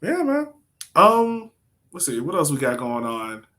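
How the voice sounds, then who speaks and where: Bright-voiced man chatting casually about sports. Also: a little high, huge pitch swings, measured pace. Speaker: soccer podcast co-host.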